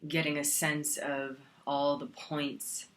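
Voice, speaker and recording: A woman teaching a guided meditation in a calm, soothing manner, leaving long pauses between phrases. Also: low-pitched.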